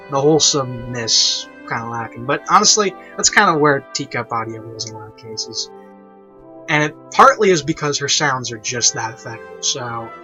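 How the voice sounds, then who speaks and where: A man's voice: a little high, sharp tone. A man hosting a casual, unscripted review, rambling and repeating himself to make his point. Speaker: review show host, online reviewer. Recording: dull lo-fi mic, music behind. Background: orchestral and piano classical music.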